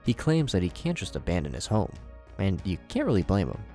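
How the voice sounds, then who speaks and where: A man hosting a video review, narrating scripted commentary in an expressive, conversational presenter's manner. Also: low voice, fairly quick, soft background music. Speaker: YouTube host, horror series reviewer.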